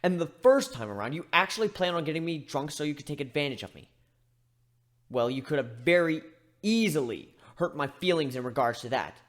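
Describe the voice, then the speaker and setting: Man as an awkward, high-voiced, exasperated male griffin. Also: animated, fairly quick, natural voice. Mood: sarcastic exasperation. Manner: emotional, sarcastic.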